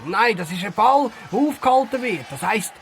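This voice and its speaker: Man playing an eager, high-voiced Swiss German detective. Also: lively intonation, moderate pace, a bit bright, a little hiss or hum. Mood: excited, lecturing. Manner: eager, lecturing. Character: excitable, chatty male detective and table tennis fan. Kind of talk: comic audio drama acting.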